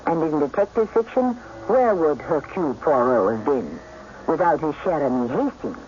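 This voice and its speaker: High, theatrical male radio host. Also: sing-song, darkish tone, a little hiss or hum. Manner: measured, literary, dramatic. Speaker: host and narrator of a radio mystery series. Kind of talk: theatrical scripted narration. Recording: muffled radio broadcast with music.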